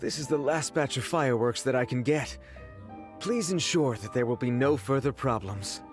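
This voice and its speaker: An actor playing an upright, earnest townsman who is quick to indignation, in a video game. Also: medium pitch, faint music behind, lightly acted. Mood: concerned. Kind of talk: video game story dialogue.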